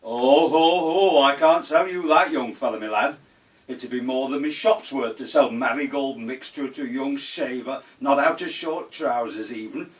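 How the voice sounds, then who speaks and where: An actor playing a jovial, wordy shopkeeper. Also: male voice, mid-range voice, normal speed, far from the mic, lightly acted. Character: jovial old-fashioned English sweet-shop keeper.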